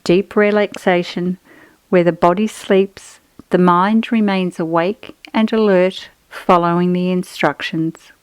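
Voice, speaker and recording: A woman guiding a relaxation meditation in a soothing, measured, instructional manner with pauses between phrases. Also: fairly low pitch, steady tone, clear, resonant tone, no room sound, poor audio. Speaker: yoga nidra guide.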